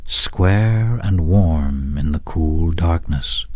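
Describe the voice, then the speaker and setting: Male story narrator: deep, very unhurried, measured literary read, dry studio sound. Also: darkish tone, no room sound. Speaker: story reader.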